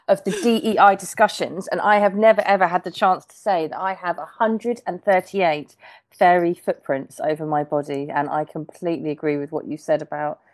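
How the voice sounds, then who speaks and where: A woman hosts a podcast interview with a chatty, enthusiastic manner. She praises her guest warmly and moves quickly from one question to the next. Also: fairly low pitch, steady tone. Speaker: podcast host.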